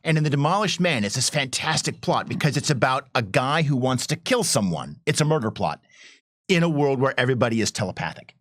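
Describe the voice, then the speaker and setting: A man tells anecdotes in loose, animated panel chat, wandering from one point to the next. His voice sits at an average male pitch and is recorded dry. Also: fairly quick, no echo.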